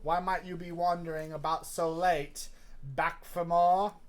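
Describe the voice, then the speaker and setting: A man voicing a shady male dockside dealer in an attempted Cockney accent, exaggerated and high. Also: little pitch movement, measured pace, close to an everyday voice. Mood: sly.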